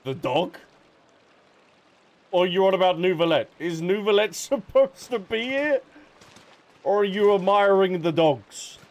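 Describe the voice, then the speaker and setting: A man's voice: high-pitched, animated, unhurried, long pauses. A man doing casual, joking live commentary as he streams a video game. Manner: joking, chatty, reactive. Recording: faint game music behind the voice.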